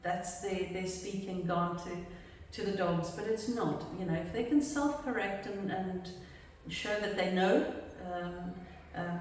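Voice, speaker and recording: Brief: woman exam trainer, relaxed Q&A talk, recorded far from the mic in a very echoey room. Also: on the low side, slight background noise. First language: English. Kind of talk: casual Q&A discussion.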